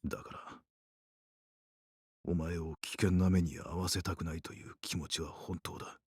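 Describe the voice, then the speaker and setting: A guarded doctor from a visual novel with a low, husky voice. He mutters with cold restraint, yet his words come quickly, in a dry close sound. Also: fairly even intonation, darkish tone, no room sound. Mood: earnest, restrained. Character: stern, guarded male doctor, cold when angered. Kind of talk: Japanese visual novel voice acting.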